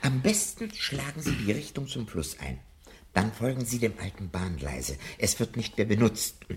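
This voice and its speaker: An actor playing an elderly, learned professor, courteous and anxious, in a 1970s German radio drama. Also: male voice, fairly low pitch, big pitch swings, normal speed. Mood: cautious, confiding. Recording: roomy, faintly noisy vintage radio recording.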